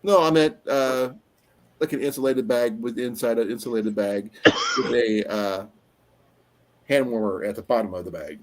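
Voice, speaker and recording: A man's voice: mid-range voice, normal speed. Easygoing man hosting a live chat. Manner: rambling, trails off with fillers while looking things up. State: voice going slightly raspy. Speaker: livestream host. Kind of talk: casual live-stream chat.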